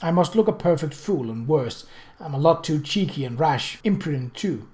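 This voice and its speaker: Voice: mid-range voice, lively intonation, normal speed, a little mellow, voice pushed up, natural voice. Brief: reader as boyish well-bred young man, earnest, awkward, stammering, full of apologetic exclamations, storybook dialogue. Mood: flustered, self-accusing. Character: flustered earnest very young upper-class gentleman, awkward and boyish.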